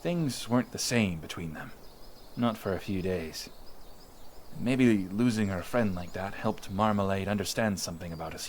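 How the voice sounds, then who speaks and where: A man's voice: fairly low pitch, a little hiss or hum, natural voice. A man playing a chatty young villager who fondly recounts sea monster tales in an informal, rambling audio roleplay. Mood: uneasy, subdued. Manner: informal, animated, rambling witness account with hesitations. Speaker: voice actor. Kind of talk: in-character audio roleplay storytelling, conversational.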